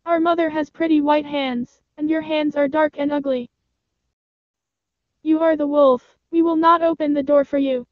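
A woman voicing clever, defiant little goat children from a storybook, in a childlike, squeaky voice. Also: fairly even intonation, moderate pace, no room sound, phone-quality sound, natural voice. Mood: indignant. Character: seven clever, defiant little baby goats.

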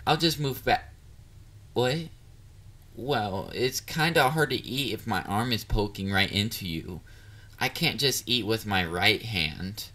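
A man's voice: lowish voice, lively intonation, takes it slowly, bright, forceful tone, exaggerated voice. A man playing a bubbly, bashful catboy boyfriend in an intimate roleplay audio drama, dorky and easily flustered. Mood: playful, bashful. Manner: playful, dorky, flustered, hesitant. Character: bubbly, bashful young male catboy partner.

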